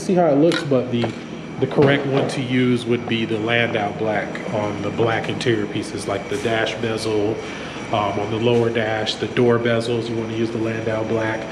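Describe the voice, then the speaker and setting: Male host with a head cold, casual how-to explainer, roomy echo, steady fan hum behind. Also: mid-range voice. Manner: relaxed, many ums and restarts. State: stuffy from a cold. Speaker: auto mechanic channel host. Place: home garage workspace. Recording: echoey room with fan noise.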